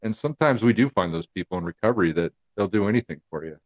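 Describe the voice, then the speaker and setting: Casting note: male host, fairly low voice, easygoing and encouraging, plenty of "you know.". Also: fast talker. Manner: relaxed, many fillers, supportive. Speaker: podcast host. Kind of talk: casual interview.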